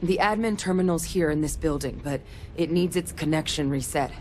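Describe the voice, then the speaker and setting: An actress in a video game playing a tough, terse female field operative who gives tactical orders in a businesslike, measured way. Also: deep voice, a little mellow, a little hiss or hum, natural voice.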